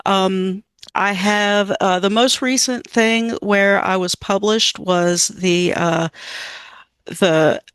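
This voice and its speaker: A woman's voice: medium pitch, fairly even intonation, slow, fairly bright tone, clear, resonant tone, dry recording. A woman player chatting casually about her writing, with wry, dry humor. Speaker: player and essay writer. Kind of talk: casual chat.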